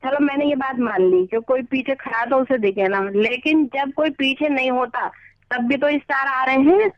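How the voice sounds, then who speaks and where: A woman's voice: high-pitched, moderate pace, no echo. A woman on the telephone making a casual complaint in an earnest, plain-spoken, colloquial way over a dull line. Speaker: customer on a phone call.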